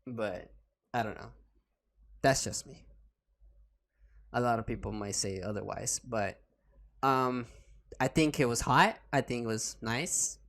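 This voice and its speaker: A man with a gravelly, fry-heavy voice hosts a casual podcast chat. He talks excitably, trips over restarts and swears freely. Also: mid-range voice, unhurried, long pauses. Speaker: podcast host.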